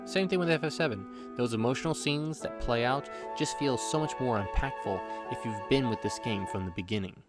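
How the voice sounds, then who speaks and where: A man's voice: mid-range voice, a little fast, few pauses. Playful male game reviewer over music. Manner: playful, jokey. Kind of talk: scripted review narration with joking asides. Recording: background music under voice.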